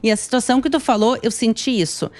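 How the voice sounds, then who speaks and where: A woman in her thirties with a rather high voice speaks with a southern Brazilian accent from Porto Alegre. She tells stories conversationally, as a guest being interviewed. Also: fast, darkish tone. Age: 30s. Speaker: tour guide and YouTuber guest.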